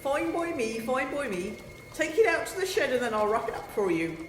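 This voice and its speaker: A woman's voice: sits high, moderate pace. A woman playing a dim, chattering, chirpy sister who keeps geese, in a comic radio play. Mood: cheerful. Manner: animated, scatterbrained, chattering. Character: scatty, dim, chirpy goose-keeping sister. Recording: echoey long reverb, music underneath.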